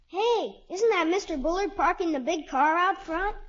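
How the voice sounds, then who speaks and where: High-pitched, earnest little boy. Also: expressive, normal speed. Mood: innocent, hopeful. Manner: guileless, plain-spoken, childlike. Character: small earnest little boy, frank, innocent and eager. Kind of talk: 1950s radio comedy acting. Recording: muffled vintage 1950s radio broadcast.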